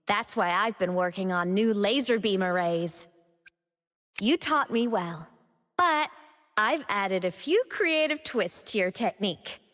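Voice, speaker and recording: A young woman playing a gentle, playful female digital ghost in a video game, with a tender, earnest and affectionate manner. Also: medium pitch, in a large hall, lightly acted. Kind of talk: video game character voice lines.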